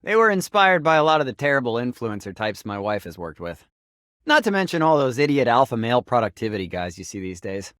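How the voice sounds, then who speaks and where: A playful, geeky writer character in a video game. He is chatty and enthusiastic, with a medium-pitched voice that swings widely up and down. The recording is dry, with no room sound. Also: big pitch swings, fairly quick, fairly bright tone, dry recording. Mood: upbeat, candid.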